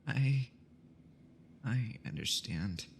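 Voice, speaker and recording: A man voice-acting a hesitant, reluctant young amateur investigator in an animated mystery, stammering a little as he talks. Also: fairly low pitch, very slow, vocal fry, a little hiss or hum, full character voice. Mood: subdued, hesitant.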